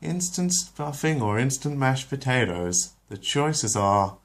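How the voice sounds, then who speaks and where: A man voicing a flustered housewife and mother who dithers and frets in drawn-out words. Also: mid-range voice, lively intonation, pitched above the performer's own voice. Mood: fretful.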